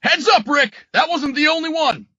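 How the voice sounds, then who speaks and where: A man playing an intense, strained survivor in a zombie horror comic dub, his voice very raspy. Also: very high voice, moderate pace, pushed and bright, dry recording, dull audio. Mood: urgent.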